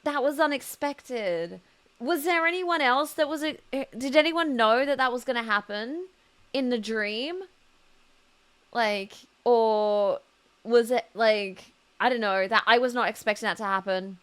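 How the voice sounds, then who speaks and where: A woman's voice: fairly high pitch, unhurried, fairly bright tone, smooth. A woman game streamer chatting casually to her viewers as she reacts live to a story.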